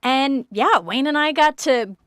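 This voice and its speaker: A woman co-hosting a show, chatting casually and upbeat, quick to laugh. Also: high voice, expressive, a bit bright, no room sound, clean studio sound. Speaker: podcast co-host. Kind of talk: casual chat.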